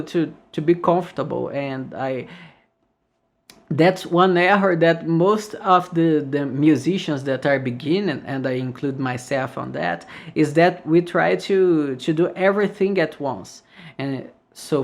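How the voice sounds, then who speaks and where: A man's voice: fairly high pitch, creaky. A man from Brazil with a Brazilian Portuguese accent, a guest guitarist speaking English in a casual podcast interview and searching for his words. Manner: halting, searching for words with many restarts.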